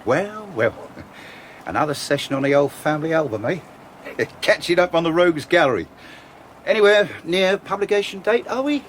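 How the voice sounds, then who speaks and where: A man's voice: a little high, expressive, moderate pace, slight background noise, lightly acted. A man playing Harry, the chummy joker. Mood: teasing. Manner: jokey, chummy, laughing, stammering under questioning. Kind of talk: 1980s radio drama acting.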